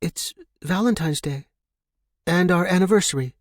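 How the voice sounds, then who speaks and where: Man voicing a higher-pitched polite young woman. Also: moderate pace, dry recording, clean studio sound, natural voice. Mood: dutiful affection. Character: polite young woman, guarded hired dinner companion.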